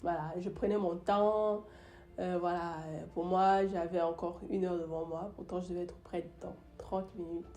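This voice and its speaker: A woman's voice: lowish voice, level tone, moderate pace, warm tone, soft background music. A young woman with the flu tells a story about her day in a casual vlog, with many fillers and restarts. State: sick with the flu. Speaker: YouTuber.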